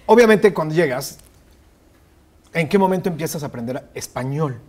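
A man's voice: a little high, expressive, moderate pace. A man hosting a studio interview show, asking short questions and adding brief backchannel replies. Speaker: host. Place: television studio set.

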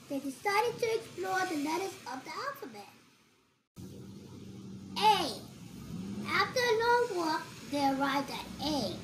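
A child reading a picture book aloud in a slow sing-song way, letter by letter. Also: very high voice, fairly bright tone, slight background noise, poor audio.

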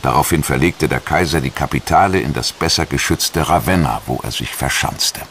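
A man's voice: extremely low pitch, moderate pace, a bit bright, slight background noise. A man narrating a documentary in a scripted style, as narrator and voice-over.

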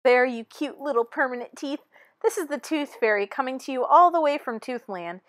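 A woman's voice: high voice, expressive, moderate pace, dry recording, studio quality. A woman voicing a breezy, chatty tooth fairy who gushes like a presenter in a storybook.

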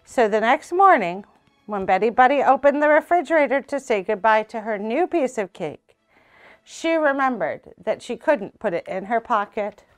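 A young woman reading a children's picture book aloud in a small indoor room, slow and expressive. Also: fairly high pitch, soft background music. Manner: slow, expressive read-aloud with character voices. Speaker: storytime reader.

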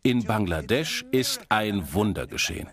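A man's voice: fairly low pitch, sing-song, measured pace, a bit bright. A man giving an explanatory, emphatic documentary lecture. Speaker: presenter lecturing with statistics. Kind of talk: documentary lecture voice-over in German dub.

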